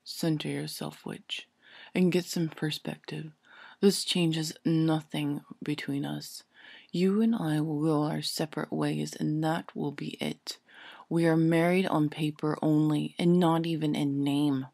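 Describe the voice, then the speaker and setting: A woman reading an audiobook story and voicing a sardonic male wizard, deadpan and coldly unmoved, with a muttering, pointed delivery. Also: low voice, measured pace, vocal fry, dry recording, clean studio sound, exaggerated voice. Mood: cold, sneering. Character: sardonic, bland, sneering adult male wizard.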